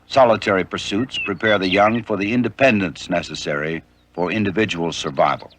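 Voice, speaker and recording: A man narrating an educational nature documentary in a measured, informative way. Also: deep voice, slight background noise. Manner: measured, even, informative delivery. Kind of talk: scripted narration.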